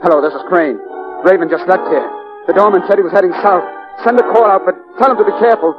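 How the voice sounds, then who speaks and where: A man acting a commanding, careful police detective in a 1940s radio drama, brisk and authoritative. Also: high-pitched, natural voice. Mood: brisk, concerned. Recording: dull old radio broadcast with music.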